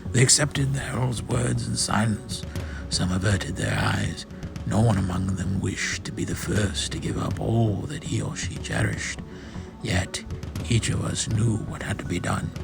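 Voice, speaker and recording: A man's voice: on the low side, fairly even intonation, unhurried, creaky. A man giving a solemn, theatrical first-person narration of a space saga, as in a dramatic comic-book reading. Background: music.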